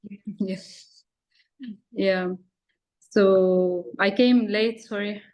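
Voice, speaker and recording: A woman's voice: medium pitch, steady tone, very slow, highs cut off. A woman from Lebanon speaking English as a second language, introducing herself informally and a little hesitantly on a video call. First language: not English. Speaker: master's student, former TV news reporter.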